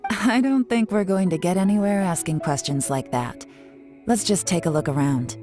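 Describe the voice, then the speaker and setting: An actress playing a shrewd, composed woman investigator in a video game, measured and sly, with a knowing air. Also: lowish voice, over music, lightly acted.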